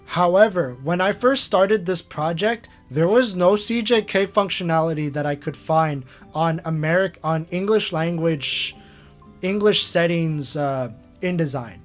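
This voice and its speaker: A man's voice: a little high, a little slow, soft background music. A man hosting a casual screen-share retrospective, rambling with lots of fillers and chuckling at himself. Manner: rambling, filler-heavy, self-amused. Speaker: channel host.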